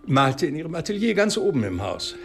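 An actor playing an educated, matter-of-fact doctor who explains things thoughtfully in a radio crime drama. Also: male voice, a little high, very animated, brisk, vocal fry, slight background noise.

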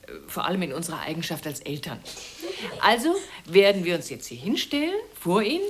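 A woman's voice: lowish voice, big pitch swings, a little fast. An actress playing a bossy but doting mother in a German radio play, by turns commanding and coaxing. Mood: announcing. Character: bossy, doting mother organising children for a portrait.

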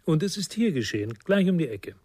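An actor playing a quiet, persistent therapist turned sleuth in a radio crime drama, speaking in short, guarded sentences. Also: male voice, fairly high pitch, very animated, a little fast, husky, no room sound. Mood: guarded, insistent.

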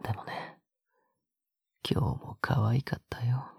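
A man playing a possessive, teasing older brother in an audio drama, coaxing softly in a husky, breathy, often whispered voice. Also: medium pitch, level tone, unhurried, dry recording, clean studio sound, full character voice. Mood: soft, fond.

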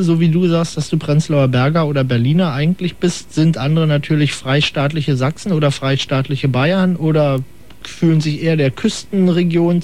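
A man's voice: a little high, darkish tone. A man hosting a radio call-in show, asking wordy, ironic questions in casual chat.

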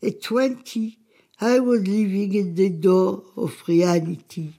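A woman's voice: mid-range voice, slow, dark tone. A woman reading poetry in a radio drama, with music behind her. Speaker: author reading own poetry. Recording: radio production with music underneath.

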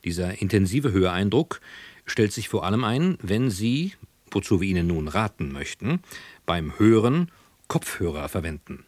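A man's voice: sits low, sing-song, normal speed, darkish tone, dry recording. A man giving a formal, scripted radio announcement to listeners.

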